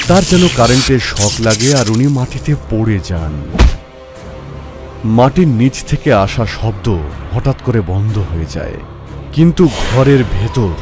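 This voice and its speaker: A man giving scripted narration of an animated horror story. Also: mid-range voice. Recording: music behind the narration.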